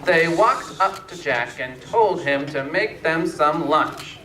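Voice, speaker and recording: A man narrating a scripted fairytale slowly and deliberately, with pauses, as a storyteller. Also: on the high side, lively intonation. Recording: echoey room, distant mic, faint noise.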